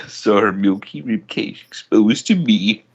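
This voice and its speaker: A man's voice: on the low side, slow, fairly bright tone, breathy edge, highs cut off. A man giving an amateur dramatic reading of fan fiction as a story narrator. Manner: breaks into laughter at the text.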